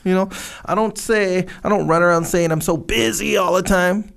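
A man's voice: sits high, fairly even intonation, moderate pace, creaky, fairly bright tone, clean tone. A man co-hosting a podcast in casual chat, laid-back and rambling, with many fillers and restarts. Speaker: podcast co-host. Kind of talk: casual chat with sponsor reads.

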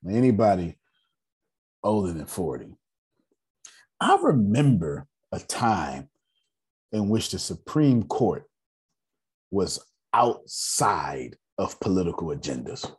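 A man in his forties with a raspy voice, hosting a casual group meeting and lecturing in a loose, talkative way. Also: low voice, very animated, slow, long pauses, a little mellow. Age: 40s. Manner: loose, talkative, laughing asides. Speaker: meeting host.

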